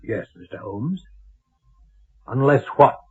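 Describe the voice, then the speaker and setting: An actor in a radio drama playing an inquisitive, courteous police sergeant with a deliberate, probing manner. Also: male voice, mid-range voice, lively intonation, darkish tone, slightly rough, heavily acted. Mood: curious. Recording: dull, muffled old radio recording.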